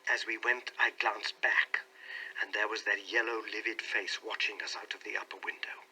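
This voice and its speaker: A man's voice: on the low side, fairly even intonation, normal speed, bright, forceful tone, a little hiss or hum, pitched below the performer's own voice, rough recording. A man playing an anguished, suspicious husband who recounts his troubles in an intense, earnest audiobook reading. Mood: tense, troubled. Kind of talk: dramatic audiobook reading in character.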